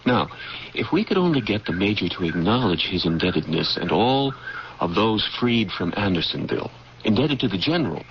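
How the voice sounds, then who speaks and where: Earnest political aide, lowish voice. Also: male voice, very animated, moderate pace, creaky. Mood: persuasive. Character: earnest, scheming political aide to a Civil War general. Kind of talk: old-time radio drama acting. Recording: muffled, noisy old radio broadcast recording.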